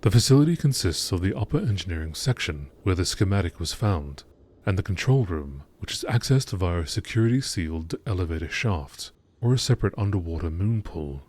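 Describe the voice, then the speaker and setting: A man with a deep, low voice reads scripted narration aloud. His delivery is measured and factual, in the manner of a nature documentary narrator. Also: lively intonation, darkish tone. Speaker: narrator reading out game lore.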